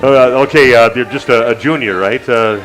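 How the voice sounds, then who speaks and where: A man hosting a local radio sports show, chatting casually with guests, halting with lots of fillers and the odd joke. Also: medium pitch, fairly bright tone, poor audio. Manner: halting, many fillers, joking. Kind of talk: casual interview chat.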